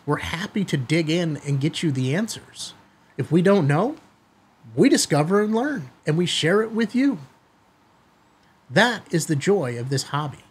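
A middle-aged man hosting a hobby video, giving a product demonstration to camera in a husky voice. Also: high-pitched. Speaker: host of a ham radio video channel. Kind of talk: product test demo to camera. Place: small indoor studio room.

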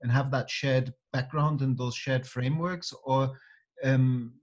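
A man's voice: mid-range voice, steady tone, measured pace. Man hosting a video call, dull audio. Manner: hesitant, restarts phrases while asking questions. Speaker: host. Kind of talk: conversational video call interview. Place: home office with bookshelves, indoors. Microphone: webcam on video call.

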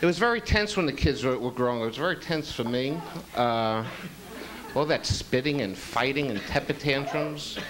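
A man's voice: mid-range voice, moderate pace, crowd noise. A middle-aged man from Queens, doing a self-deprecating stand-up set on a small club stage into a handheld mic, the audience laughing. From Queens, New York. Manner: self-deprecating, deadpan delivery. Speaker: stand-up comedian. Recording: loud noisy live club recording.

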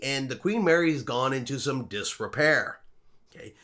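Middle-aged male vlog host, slightly higher voice, chatty and upbeat, casual to camera at home. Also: fairly bright tone. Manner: upbeat, chatty, talks with his hands. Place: home room with shelves and a wall map, indoors.

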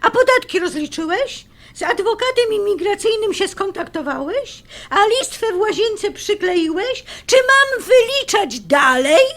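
Actress as nagging, sarcastic radio-drama wife: very high voice, swooping lively intonation. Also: female voice, unhurried, exaggerated voice. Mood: sarcastic, irritated. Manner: sarcastic, demanding, exaggerated questioning. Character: sharp, nagging, bossy wife bickering with husband. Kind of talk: audio drama acting.

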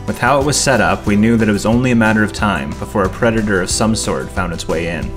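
A man from Canada giving a measured, reflective scripted narration as a homesteader. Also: on the low side, over music.

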